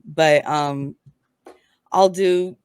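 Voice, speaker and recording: A woman's voice: low voice, steady tone, drawn-out words, a little mellow, clear, resonant tone, no room sound, studio quality. A woman giving a casual tarot reading, speaking in a frank, informal, explanatory way. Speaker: tarot reader, guest.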